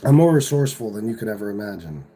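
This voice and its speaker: A man's voice: on the low side, expressive, racing, warm tone. A man hosting a live stream and answering chat comments in a combative, profane, sarcastic way, from home. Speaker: livestream host reading chat.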